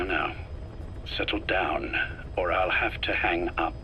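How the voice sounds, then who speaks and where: A slow, forceful, calculating older spymaster. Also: male voice, on the low side, a little hiss or hum, heavily acted, low-quality recording. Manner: measured, deliberate, politely menacing. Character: ailing, calculating older male intelligence chief, calm and controlling. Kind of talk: scripted game dialogue, dramatic acting.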